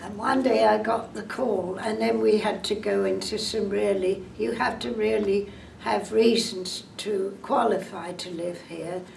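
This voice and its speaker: A woman's voice: medium pitch, steady tone, measured pace, creaky, darkish tone. An English woman in her eighties talking about her home in a chatty, meandering way. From England. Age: 80s. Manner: chatty, meandering, unsure of details. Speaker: senior housing resident. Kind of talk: interview testimony. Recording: echoey room, mic at a distance, background noise.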